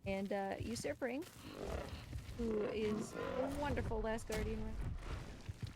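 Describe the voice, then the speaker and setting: A woman's voice: fairly high pitch, a little slow. A woman giving casual, chatty and explanatory live commentary as she plays a video game on a stream. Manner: chatty, explanatory, talks to the game creature and viewers. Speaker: speedrunner and livestream host. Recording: clearly noisy, game sound behind.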